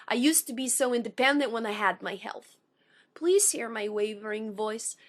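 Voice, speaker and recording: A woman in her thirties performs a spoken word poem in a high, bright, sharp-edged voice. Her speech sounds slightly unusual, sometimes taken for a foreign accent, and she phrases slowly and carefully, pausing between lines. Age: 30s. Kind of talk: personal intro, then spoken word poem.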